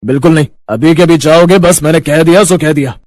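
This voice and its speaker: A man's voice: a little high, brisk, darkish tone, no echo, natural voice. Man as a greedy, threatening landlord, close and dry. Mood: angry, unyielding. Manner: curt, threatening. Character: greedy, heartless male landlord, forceful and unyielding. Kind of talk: Hindi moral cartoon story dialogue.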